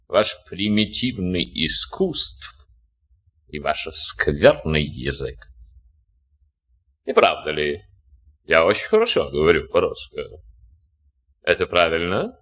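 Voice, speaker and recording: A captured German officer who speaks broken Russian with a heavy German accent, arrogant and mocking. His voice is deep and slow but lively in pitch, with a bright, forceful edge. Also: exaggerated voice. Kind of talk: war film acting.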